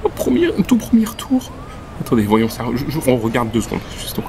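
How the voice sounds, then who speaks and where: A man's voice: medium pitch, huge pitch swings, fairly quick, crowd noise, noisy background. A man playing a nervous, eager young recruit on his first day, stammering and repeating words. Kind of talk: amateur audio drama acting.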